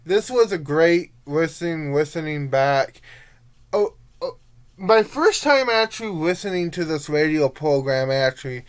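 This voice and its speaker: A young adult giving a casual review monologue in a rambling, informal way, halting and letting thoughts trail off. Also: medium pitch, lively intonation, clear, resonant tone. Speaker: amateur reviewer.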